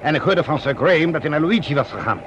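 A man acting a courteous, halting male doctor in a radio drama. His voice is a little high with lively intonation. There is faint noise on the old recording. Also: muffled recording, natural voice. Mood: polite, insistent. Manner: formal, halting. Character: polite, hesitant male doctor. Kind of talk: Dutch radio play acting.

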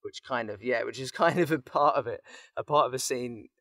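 A man with a medium-pitched voice talks casually as a podcast guest. He rambles and enthuses freely. The sound is close and dry, from a small booth. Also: fairly quick, fairly bright tone, no echo. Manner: rambling, effusive. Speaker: guest voice actor and writer.

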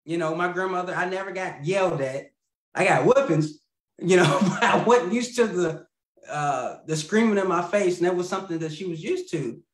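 Male guest, 30s, rambling storyteller, lots of fillers, slightly high voice, echoey video-call audio. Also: normal speed. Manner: rambling, laughs mid-sentence, many fillers and restarts. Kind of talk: casual storytelling. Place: home room.

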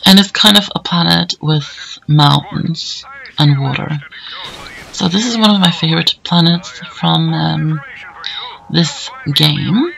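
A hoarse man giving casual, rambling commentary over gameplay, with plenty of fillers. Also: on the high side, pushed and bright, low-quality recording. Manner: rambling, easygoing, many fillers. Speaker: let's play commentator.

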